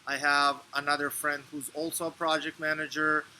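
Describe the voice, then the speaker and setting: Man from Serbia, interview guest, measured explanations, slightly high voice, faint background noise. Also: flat delivery, sharp tone, rough recording. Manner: measured, explanatory, with frequent fillers and restarts. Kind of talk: conversational interview answers.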